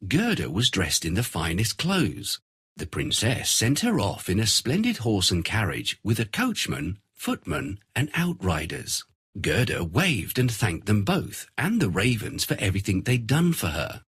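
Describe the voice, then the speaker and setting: A man narrating a fairy tale in an expressive, scripted storytelling style. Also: lowish voice, unhurried, husky, no echo, clean studio sound.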